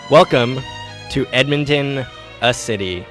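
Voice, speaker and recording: A man's voice: mid-range voice, measured pace, over music. A man chatting casually as a podcast host.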